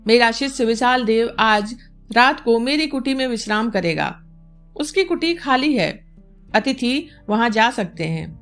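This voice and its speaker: A woman's voice: a little high, animated, fairly bright tone, soft background music. Woman voicing a solemn, proud male yogi. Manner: solemn, measured, didactic. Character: stern, proud male yogi, philosophizing and condescending.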